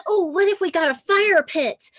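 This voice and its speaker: A woman's voice: very high voice, moderate pace, fairly bright tone, no echo. A woman playing a cheerful customer in a comic radio advertisement parody. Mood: perky.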